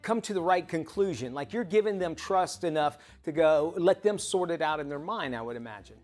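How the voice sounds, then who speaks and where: Man, middle-aged, TV talk host, chatty, laughs easily, slightly high voice, faint music behind. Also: lively intonation, a little fast, darkish tone. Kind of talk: TV talk show interview.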